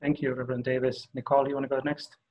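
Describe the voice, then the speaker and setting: A man's voice: mid-range voice, flat delivery, rapid-fire, highs cut off. A man from Somalia chairing a meeting on a video call, hesitant and full of fillers.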